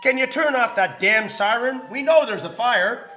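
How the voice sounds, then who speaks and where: An actor playing a brave, commanding deputy fire chief barking orders in a radio drama. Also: male voice, extremely high pitch, animated, moderate pace, bright, forceful tone, heavily acted. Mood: urgent, commanding.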